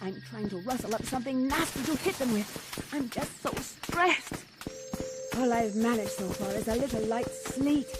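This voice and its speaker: A woman's voice: on the high side, moderate pace, very noisy, lightly acted. An actress playing a sardonic, stressed young woman mutant and former thief. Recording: loud music score behind.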